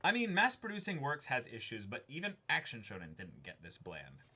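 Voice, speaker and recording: A young man co-hosting a show, chatting casually and reading aloud with halting, thoughtful phrasing. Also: medium pitch, huge pitch swings, sharp tone, slightly rough. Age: young adult. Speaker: co-host of an unboxing show. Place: small indoor room.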